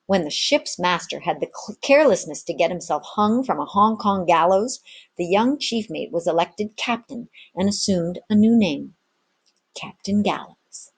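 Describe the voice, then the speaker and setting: Husky woman reading a storybook aloud. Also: mid-range voice, animated, moderate pace. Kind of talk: expressive storybook narration.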